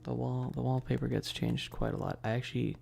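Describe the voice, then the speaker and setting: A livestream host chatting casually and informally in a soft, close whispery ASMR delivery into a binaural mic, rambling with teasing asides to chat. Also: mid-range voice, little pitch movement, a little fast, creaky, faint music behind. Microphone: close binaural microphone. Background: tapping and keyboard clicking.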